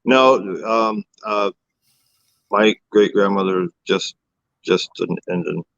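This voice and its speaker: A man from the Cherokee Nation of Oklahoma, a guest chatting casually in a halting, joking way with lots of fillers. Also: on the low side, creaky, fairly bright tone. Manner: halting, joking, laughs often, many fillers. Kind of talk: casual conversation. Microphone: video call. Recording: dull lo-fi video call audio.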